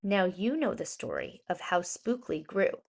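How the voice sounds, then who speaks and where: A woman reading a rhyming children's story aloud in an expressive, deliberate storytelling manner with emphasized words. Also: on the low side, creaky. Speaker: children's storybook reader, educator.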